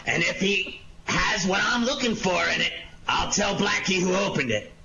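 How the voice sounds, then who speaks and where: Through dull, very noisy audio with clicks comes a suspicious police inspector played by a man in an old radio detective play. His voice is high and swings widely as he makes blustery, sardonic accusations. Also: expressive, moderate pace. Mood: triumphant. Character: gruff, suspicious male police inspector searching an apartment. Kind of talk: 1940s radio detective acting.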